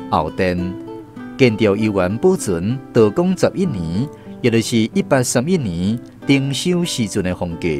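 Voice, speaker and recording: A man with a Taiwanese Hokkien accent narrating a scripted radio story in an expressive storyteller's style. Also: lowish voice.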